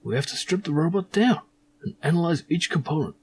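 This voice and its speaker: A man voicing a slick, pushy insurance salesman in an adventure game, husky and wheedling. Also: a little high, animated, brisk, a little mellow, slight background noise, pitched above the performer's own voice. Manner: wheedling sales patter with questioning tags.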